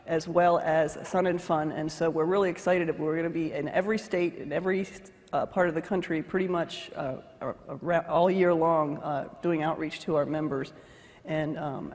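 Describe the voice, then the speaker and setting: A woman speaking as a conference panelist, giving an organizational update in a slightly hoarse voice. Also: sits low, steady tone, normal speed, darkish tone. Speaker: panelist, aviation association executive. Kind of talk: prepared remarks on a conference panel. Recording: echoey hall with long reverb.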